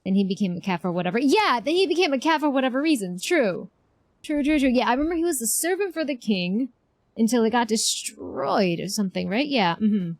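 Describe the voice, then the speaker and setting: A woman's voice: a little high, big pitch swings, fairly quick, dry recording. A woman streaming a game, chatting casually and expressively with viewers in an informal, slangy way. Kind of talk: casual livestream reaction chat.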